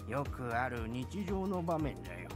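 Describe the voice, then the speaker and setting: A man's voice: medium pitch, big pitch swings, quick pace, lightly acted. An actor playing an earnest, pleading old grandfather in a visual novel game, with an aged manner of speech. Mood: reflective. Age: older. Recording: music behind the voice.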